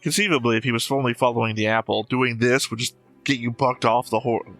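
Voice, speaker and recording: A man's voice: medium pitch, fast, a bit bright, soft background music. A man giving casual reaction commentary in a matter-of-fact, explanatory way. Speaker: reaction show host.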